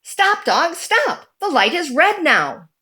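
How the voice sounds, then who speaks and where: A woman reading a children's picture book aloud in a deliberate, animated storytelling manner. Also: very high voice.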